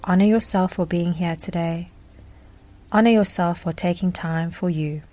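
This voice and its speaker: A woman leads a guided meditation in a fairly low voice. Her phrasing is gentle and deliberate, with measured pauses between instructions. Faint music and rain sounds sit behind her.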